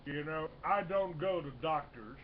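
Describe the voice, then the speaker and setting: A man in his sixties hosting a talk radio show, rambling with halting restarts and lots of fillers. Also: on the high side. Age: 60s. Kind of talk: casual talk radio chat. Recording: dull lo-fi broadcast with faint hiss.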